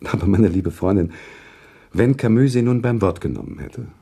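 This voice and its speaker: Earnest sentimental author guy telling a story, radio play, low gravelly voice, really expressive. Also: normal speed. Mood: moved. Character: male author narrator recounting a sad love story, earnest and sentimental. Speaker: narrator.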